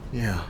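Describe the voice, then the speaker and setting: An actor playing a bitter, weary young man in an audio drama, sarcastic, confrontational and brooding. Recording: noisy subway train ambience.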